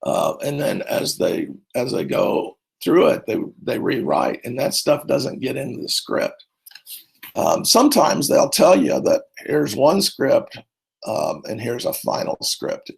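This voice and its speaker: A man's voice: mid-range voice, big pitch swings, unhurried, creaky, breathy edge. A middle-aged man, a speech and debate coach, giving a rambling conference talk full of fillers and restarts.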